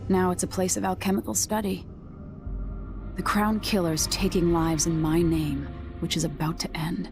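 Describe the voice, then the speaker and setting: A guarded young outcast noblewoman played by an actress in a video game, dry and resolute. Her voice is low and gravelly, with music clearly behind it. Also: level tone, lightly acted. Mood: grim resolve. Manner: dry, measured, determined. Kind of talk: low-key video game narration and dialogue.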